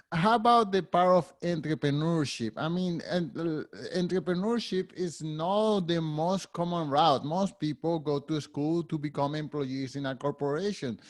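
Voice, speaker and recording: A man's voice: on the high side, measured pace. A man hosting an interview, asking brief, direct questions. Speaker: podcast host.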